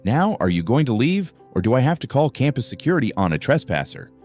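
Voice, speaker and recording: A man's voice: mid-range voice, very animated, fast talker, darkish tone, soft background music, voice pushed up. A man voicing a prickly young male student who answers in curt, defensive retorts.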